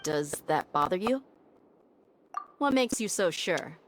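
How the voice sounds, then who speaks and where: Low-voiced, self-assured mercenary woman. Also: expressive, takes it slowly, a little hiss or hum. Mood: frank, thoughtful. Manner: casual, self-assured, frank. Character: tough, laid-back young desert mercenary woman, loyal and frank. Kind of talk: video game voice acting.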